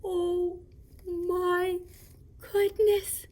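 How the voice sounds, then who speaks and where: An excitable, childlike girl character from a children's show. The voice sits extremely high and takes its time. She gushes and exclaims to her young audience. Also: very slow, exaggerated voice. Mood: overjoyed, amazed. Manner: gushing, exclamatory, addressing young viewers.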